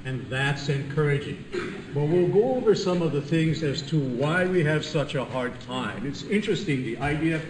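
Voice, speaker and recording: An older man from Hawaii with a loud voice, giving a chatty, joking conference lecture as a physician. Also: fairly high pitch, expressive, normal speed, slight background noise. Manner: chatty, self-deprecating, laughs at own jokes. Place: large conference room. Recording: echoey room, voice from a distance.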